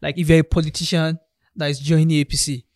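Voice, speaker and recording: A man's voice: on the high side, level tone, quick pace, crowd noise. A young man chatting about politics, emphatic and repeating words as he builds a point. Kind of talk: casual political chat.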